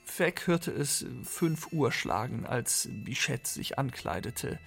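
A man narrating a literary radio play as a scripted storyteller, with a gravelly, husky voice. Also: medium pitch, expressive, slow, a bit bright, soft background music.

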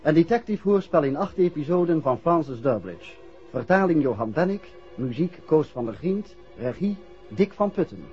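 A dull 1960s radio recording with music behind it. A man announces serial titles and credits in a scripted manner. His voice is raised in pitch and strongly inflected. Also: expressive, moderate pace, a little mellow.

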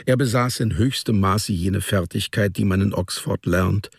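A man narrating a radio drama as a literary story, in a measured, precise storytelling manner. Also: lowish voice, gravelly, breathy edge, dry recording, studio quality.

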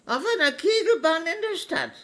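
A woman's voice: very high voice, sing-song, normal speed, fairly bright tone, heavily acted. An actress playing a meek, God-fearing old grandmother in a radio crime drama, deferential and plaintive. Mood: meek, uneasy.

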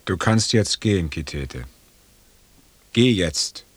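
A hard-handed lieutenant in a radio drama. His voice is low and husky. He talks in a curt, brusque military way. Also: expressive, slow, dry recording, exaggerated voice. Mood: impatient command. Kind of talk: German radio drama acting.

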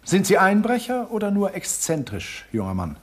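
Casting: a disdainful old-world collector, very husky, pompous radio-play delivery. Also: male voice, sits high, wildly swooping pitch, normal speed, full character voice. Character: haughty misanthropic male antique collector. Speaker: actor in a radio drama. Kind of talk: German radio play acting.

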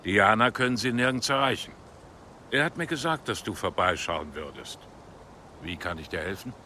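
Bright-edged, low-voiced guarded man. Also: animated, normal speed, slight background noise. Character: guarded, pragmatic male confidant who offers help. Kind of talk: naturalistic radio drama acting.

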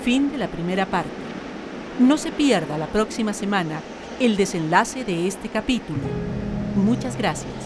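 A female radio drama announcer reads scripted credits at a medium pitch. Loud music runs underneath her voice. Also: lively intonation, unhurried.

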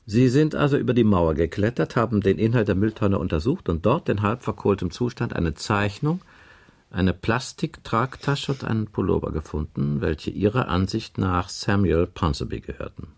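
An actor playing a stern detective inspector in a German radio crime drama, questioning in an insistent, clipped, probing manner. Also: male voice, on the low side, lively intonation, moderate pace, vocal fry, darkish tone. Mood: pressing, reproachful.

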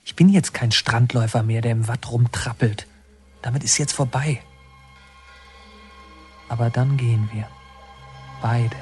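An actor playing a stubborn, earnest young man in a reformatory, speaking seriously and deliberately in a radio drama. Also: mid-range voice, close to an everyday voice. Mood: resolute, brooding. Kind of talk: German radio drama acting.

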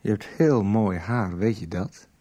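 A man's voice: fairly low pitch, a little slow, mellow, faint music behind, lightly acted. An actor playing a boastful, flirtatious man in his twenties in a radio soap, stumbling a little over his words. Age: 20s. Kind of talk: 1990s Dutch radio soap dialogue.

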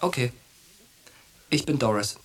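A man's voice: medium pitch, animated, measured pace, slightly rough. An actor playing a brash, cocky young soldier in a German radio drama, coarse and pushy with his boyhood friend. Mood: coaxing.

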